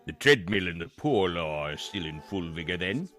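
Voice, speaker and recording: Man playing old miserly businessman, low-pitched, sharp forceful tone, curt, scornful, grumbling, radio play drama. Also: sing-song, moderate pace, faint music behind. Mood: scornful, demanding. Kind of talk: theatrical radio play acting.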